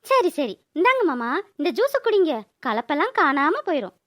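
A woman voicing a gentle, earnest young daughter-in-law in a cartoon. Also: sits high, very animated, moderate pace, dry recording, studio quality. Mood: caring. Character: dutiful, soft-hearted young daughter-in-law. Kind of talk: cartoon voice-over dialogue.

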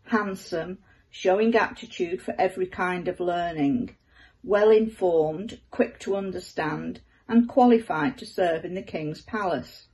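A woman's voice: medium pitch, a little mellow, poor audio. Older woman reading scripture aloud. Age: older, about 70s. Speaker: scripture reader. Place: living room at home.

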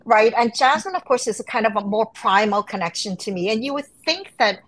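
A middle-aged woman speaks from her home on a video call, her voice sitting a little high. She tells her stories with animation, reaching for quoted voices now and then. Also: a little fast. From Hong Kong and New York. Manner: animated storytelling. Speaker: guest author. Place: home room.

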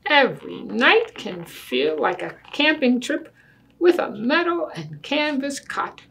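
Older woman playing a precocious little storybook girl, bossy, pompous sales pitch, unhurried, slightly creaky. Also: big pitch swings, fairly bright tone, heavily acted. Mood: coaxing, firm. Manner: pompous, salesman-like, mock-reasonable.

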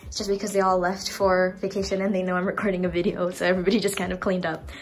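A woman's voice: lowish voice, flat delivery, quick pace. A young woman from Indonesia chatting casually and with youthful, bubbly informality as she films a room-tour vlog. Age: young adult. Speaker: vlogger. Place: small furnished dorm rooms and bathroom.

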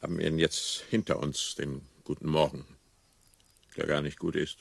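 An actor playing a weary, grumbling older husband in a radio drama, with a gravelly, sour delivery. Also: male voice, rumbling low, flat delivery, normal speed, no echo, exaggerated voice. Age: middle-aged or older.

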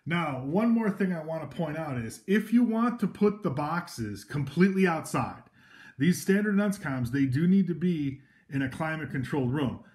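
A man's voice: a little high, expressive, moderate pace, darkish tone. A man giving a casual, loose product demonstration indoors, talking from behind a handheld camera with many fillers and restarts. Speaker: equipment demonstrator. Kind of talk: informal product demo. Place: indoors at a table of devices.

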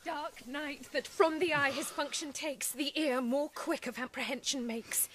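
Young sweetheart, high voice, slow careful verse, tender, bewildered, radio play. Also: female voice, fairly even intonation, a bit bright, slight background noise. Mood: tender, questioning. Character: devoted young woman, bewildered and hurt. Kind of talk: classical Shakespearean radio acting.

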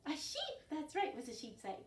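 An older woman hosting storytime for young children, speaking in an animated, clearly enunciated way and asking them questions. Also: high voice, normal speed, a little hiss or hum. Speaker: children's storytime host at a library. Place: living room at home. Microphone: camera a few feet away. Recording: roomy sound, distant microphone.

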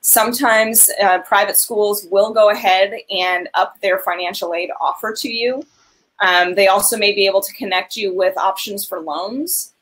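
A woman's voice: mid-range voice, moderate pace, a bit bright, a little hiss or hum, low-quality recording. A woman in her thirties on a video call, giving earnest, direct advice as a financial aid advisor in a webinar.

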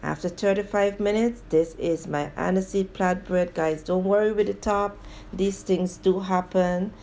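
A woman hosting a home cooking video, calmly narrating each step of a recipe and speaking to viewers as guys. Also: medium pitch, measured pace, slight background noise. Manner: plain, steady instructional tone addressing viewers as guys. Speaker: home cooking show host.

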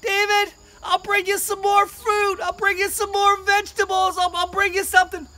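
Lady doing an eager caring wife, high bright voice, told story. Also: little pitch movement, normal speed, a little hiss or hum.

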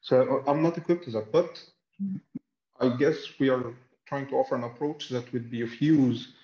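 A man's voice: mid-range voice, fairly even intonation, moderate pace, warm tone. Roomy, muffled male academic on a call. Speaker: academic researcher, lecture presenter. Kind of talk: scholarly discussion and question answering. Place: indoor room on video call. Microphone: video call, sitting back from camera. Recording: dull, roomy video-call audio.

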